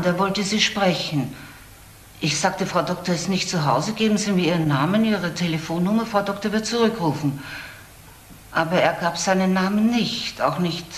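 An actress playing a doctor's frank, earnest receptionist in a German crime radio play, recounting things carefully and emphatically. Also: female voice, mid-range voice, natural voice. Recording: roomy audio drama, faint noise.

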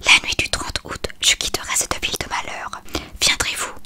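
A reader voicing a desperate, pleading, lovestruck teenage girl from a novel in a breathy whisper. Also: normal speed, pushed and bright. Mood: determined.

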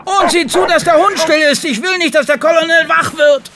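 An actor playing a stern, resolute man in charge of a stable, gravelly and commanding, in a German radio play. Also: very high-pitched, fairly quick. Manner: commanding, urgent. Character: stern, resolute male horse trainer in charge. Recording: clearly noisy background.

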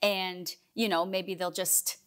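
A woman's voice: on the low side, little pitch movement, takes it slowly, fairly bright tone. Young Canadian woman guest, reflective and a little hesitant, casual interview. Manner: thoughtful, hesitant, many restarts and ums. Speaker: guest, community theatre actor. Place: living room set with couch.

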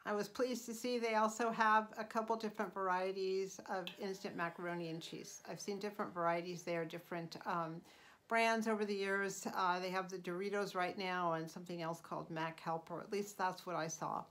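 A middle-aged woman hosting her own channel, talking through casual tips in an unhurried, chatty way with frequent ums and uhs. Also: lowish voice, fairly even intonation, fairly bright tone. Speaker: channel host. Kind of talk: casual vlog voice-over.

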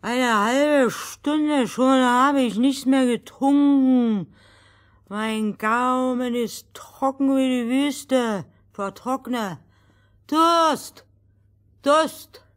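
A woman's voice: high-pitched, very slow. A woman voicing a parched, grumpy, boastful man in a fairy tale, blustering and groaning impatiently. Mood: desperate. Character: parched, grumpy, boastful thirsty man, really a magical little man.

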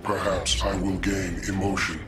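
An actor voicing a cold, arrogant machine intelligence in a video game, speaking in a deadpan, formal, menacing way. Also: male voice, deep voice, normal speed, heavy background noise, lightly acted. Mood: cold menace. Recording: loud music over the speech.

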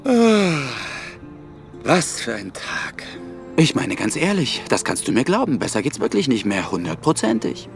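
An actor playing a conceited, dramatic lion in an animated film radio play, theatrical and exclamatory. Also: male voice, mid-range voice, unhurried, gravelly, soft background music, full character voice. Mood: persuasive. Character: conceited, dramatic male lion, zoo star.

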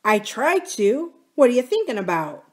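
A woman's voice: a little high, sing-song, normal speed, pitched above the performer's own voice. A woman voicing a kind, earnest, gullible young male farmer in an audiobook, eager and reassuring. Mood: concerned, eager. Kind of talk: audiobook character dialogue.

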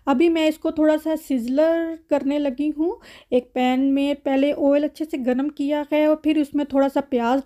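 A woman's voice: high-pitched, dark tone. A woman with a Pakistani accent narrating home cooking in a conversational recipe voice-over, as a vlogger. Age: adult mother.

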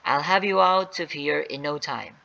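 A storyteller voicing a calm, protective father in a children's story. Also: mid-range voice, normal speed, gravelly, muffled recording. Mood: reassuring.

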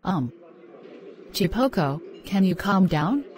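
A man voicing a patient young girlfriend in a homemade skit, speaking calmly and gently scolding. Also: high voice, slow pace, slight background noise, voice pushed up, heavily acted. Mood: sweet. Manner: calm, soothing, gently scolding.

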